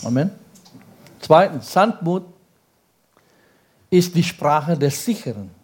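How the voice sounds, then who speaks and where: A man delivering a sermon at an unhurried pace, his voice sitting somewhat high for a man. He speaks the language as a second tongue and keeps drawing the congregation in with rhetorical questions and short call-outs. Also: lively intonation, darkish tone, husky. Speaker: pastor.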